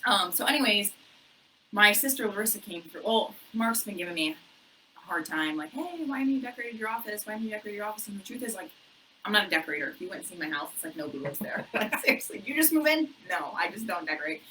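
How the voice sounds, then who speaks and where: Bubbly loud-voiced woman realtor. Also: mid-range voice, expressive, fast talker, distant mic, slight background noise, poor audio. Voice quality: loud voice that carries. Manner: bubbly, chatty, laughs often, says "anyways" a lot. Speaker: realtor co-hosting a local real estate show. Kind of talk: casual chat. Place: her office.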